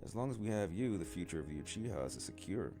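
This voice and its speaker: A man's voice: sits low, very animated, quick pace, over music. A man reading the part of a friendly older boy ninja in a storybook, casual and teasing. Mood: teasing, admiring.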